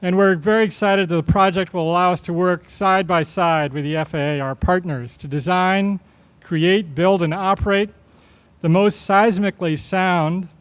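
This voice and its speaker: A man with a high, smooth and resonant voice addresses a public ceremony as its host. His delivery is formal and measured, with slightly halting phrasing, like an official reading prepared remarks. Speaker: airport official hosting a ceremony.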